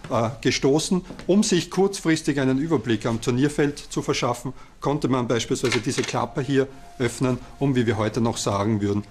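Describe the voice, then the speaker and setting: A man's voice: medium pitch, darkish tone. A man giving an explanatory talk as an expert showing exhibits.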